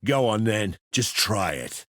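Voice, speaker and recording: An actor playing a gruff, sardonic fighter in a video game, speaking in blunt, colloquial barks. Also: male voice, on the low side, expressive, takes it slowly, fairly bright tone, no room sound, studio quality. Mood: determined.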